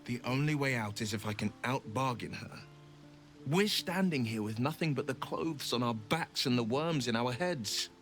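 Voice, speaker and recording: Noble young fantasy game warlock, solemn and eloquent, mid-pitched voice, music underneath. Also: male voice, animated, moderate pace, a bit bright. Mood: resolute. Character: horned, pact-bound warlock hero, noble, earnest young man. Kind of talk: dramatic video game acting.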